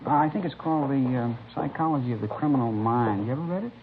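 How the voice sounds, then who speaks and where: A man playing an American high school boy, nervous and eager, with a medium-pitched voice. He is chatty and over-curious, with hesitant phrasing, in an old radio crime drama. The recording is very narrow and clearly noisy. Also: fast talker, close to an everyday voice. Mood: eager, fascinated. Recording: scratchy, band-limited vintage radio recording.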